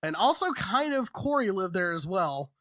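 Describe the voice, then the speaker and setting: A man in his thirties co-hosting a casual podcast, telling stories with lots of swearing. His delivery is animated and chatty, and the sound is close and dry. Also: sits high, normal speed, no room sound. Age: 30s. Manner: profane, animated storyteller. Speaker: podcast co-host. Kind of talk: casual storytelling chat.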